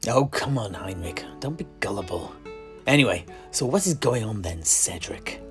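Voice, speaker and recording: A man playing a wry, hospitable tavern keeper in a fantasy audio drama, talking in a measured, old-fashioned way. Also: lowish voice, animated, faint music behind, close to an everyday voice. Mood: exasperated. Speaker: host.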